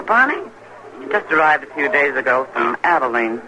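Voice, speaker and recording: An actress playing a worldly, wry saloon keeper in an old-time radio western, speaking knowingly. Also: female voice, very deep voice, big pitch swings, normal speed, a crowd in the background, rough recording. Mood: friendly, knowing. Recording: old radio recording, faint noise.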